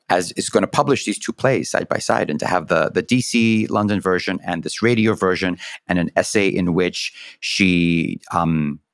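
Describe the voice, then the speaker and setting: A man with a very deep voice speaks reflectively, with halting phrasing and pauses. The recording is close and dry. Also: no room sound. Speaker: theater production team member in discussion. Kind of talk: reflective discussion.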